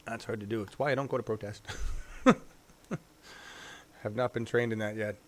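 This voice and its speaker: A man hosting a live-stream talk show, rambling casually and thinking out loud as he goes. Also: on the low side, steady tone, moderate pace. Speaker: show host.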